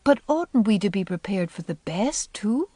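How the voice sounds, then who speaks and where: A woman's voice: mid-range voice, expressive, moderate pace, dark tone, no room sound, clean studio sound, lightly acted. Storybook heroine of sixteen, hopeful and pleading, voice rising and falling eagerly; played by a woman. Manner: ardent, pleading. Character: earnest, hopeful sixteen-year-old girl. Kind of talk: audiobook character voice.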